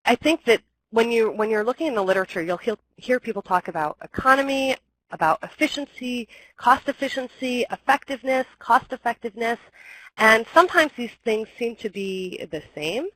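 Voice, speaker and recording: A woman's voice: on the high side, lively intonation, brisk, fairly bright tone, slightly rough, dry recording. A young woman with a slight cold, her voice a little scratchy, presenting a webinar talk from home over a computer mic, explaining steadily with ums and restarts.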